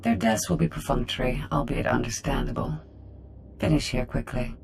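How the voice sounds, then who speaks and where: An actor voicing a haughty, menacing droid intelligence in a video game, formal, clinical and condescending. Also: male voice, mid-range voice, normal speed, vocal fry, music in the background. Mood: coolly clinical. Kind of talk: video game cutscene dialogue.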